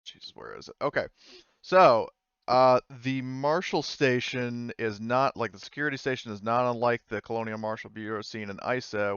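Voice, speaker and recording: Casting: male game master, smooth voice, halting casual narration, swears freely, slightly dull mic. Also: fairly low pitch, fairly bright tone, no echo.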